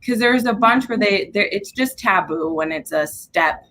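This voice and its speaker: A woman's voice: mid-range voice, animated, normal speed, a bit bright. A woman talking casually about books as a reviewer on a group livestream. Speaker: book reviewer in a livestream readalong. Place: at home on a group video call. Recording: noisy home livestream audio.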